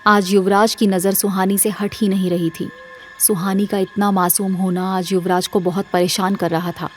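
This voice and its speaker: A woman's voice: medium pitch, little pitch movement, moderate pace, faint music behind. A woman narrating a scripted audio drama as its storyteller.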